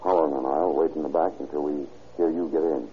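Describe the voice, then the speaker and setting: Deep, fast-talking police chief. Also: male voice, steady tone. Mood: resolute. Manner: clipped, commanding. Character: brisk, steady male police chief on the hunt. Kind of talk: old-time radio crime drama acting. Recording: dull, noisy old radio recording.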